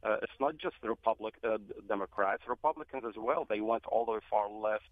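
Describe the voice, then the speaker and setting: A man's voice: lowish voice, brisk, no room sound. A man from Iraq, a guest on a political talk radio show, speaking earnestly with many fillers and repeated words. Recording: tinny phone line, dry.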